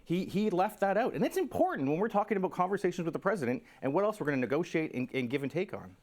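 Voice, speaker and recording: Male strategist. Rapid, lively pitch movement, informal argumentative commentary. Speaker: political strategist, panelist. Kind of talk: spontaneous political commentary.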